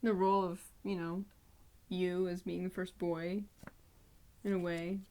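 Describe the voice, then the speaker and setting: A woman's voice: fairly low pitch, little pitch movement, a little slow, dark tone. A woman in her twenties from Canada, a music graduate student, telling the story of her relationship in a chatty way. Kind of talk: personal testimony in a documentary interview.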